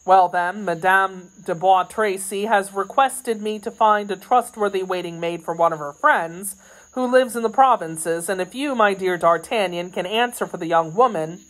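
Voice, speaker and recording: A woman voicing a courteous, discreet gentleman musketeer in an audiobook, with a formal manner. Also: mid-range voice, normal speed, lightly acted, low-quality recording.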